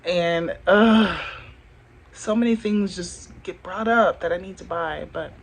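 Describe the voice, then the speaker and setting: A young woman with a deeper voice for a woman, chatting candidly into her phone camera with lots of fillers. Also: slow, gravelly, rough recording. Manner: chatty, candid, many fillers like "like" and "you know". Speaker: vlogger. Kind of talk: casual talk to camera.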